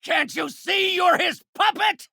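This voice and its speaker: Bitter middle-aged genius scientist villain for a game, super articulate and grandiose, pitch all over, dry close sound. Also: male voice, big pitch swings, moderate pace, a bit bright, no room sound. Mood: enraged, shouting. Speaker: video game voice actor. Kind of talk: dramatic game character voice lines.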